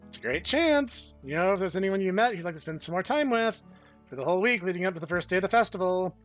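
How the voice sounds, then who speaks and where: A man's voice: high voice, animated, fast talker, a bit bright, faint music behind. A man voicing a cheerful, scheming young female student in a bubbly, teasing way.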